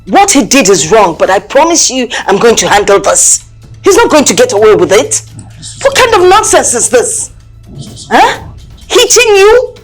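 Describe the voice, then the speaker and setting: A woman's voice: mid-range voice, big pitch swings, takes it slowly, long pauses, a bit bright, breathy edge, faint music behind, full character voice, low-quality recording. A woman voicing the stern mother of grown sons, who scolds and soothes them. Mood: soothing, stern.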